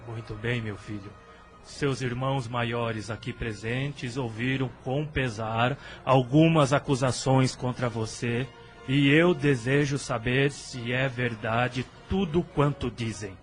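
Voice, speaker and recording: A grave family patriarch voiced by a male actor with a Brazilian accent, in a radio play. He speaks very slowly at a medium pitch, stern and formal, weighing each phrase. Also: faint music behind, close to an everyday voice. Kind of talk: radio drama acting.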